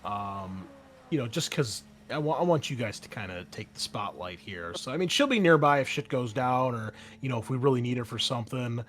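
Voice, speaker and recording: A man running a tabletop roleplaying game, speaking informally in halting, hesitant phrases as he sets scenes and guides players. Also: mid-range voice, lively intonation. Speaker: game master.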